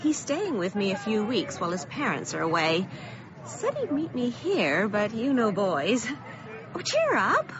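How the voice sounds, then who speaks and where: Over noisy ambience in a dull recording, a fussy, cheerful older lady in a radio play. The pitch is high and swoops wildly as the character chatters theatrically. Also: wildly swooping pitch, measured pace. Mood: chirpy. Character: Miss Foley, cheery fussy older small-town lady. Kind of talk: radio drama acting.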